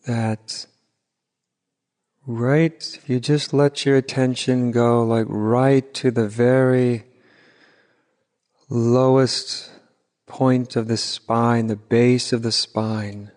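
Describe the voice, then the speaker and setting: A man leading an unscripted guided meditation as a teacher, gently and unhurriedly, with long pauses. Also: mid-range voice, little pitch movement. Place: large reverberant room at a retreat. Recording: hall reverb, live retreat recording.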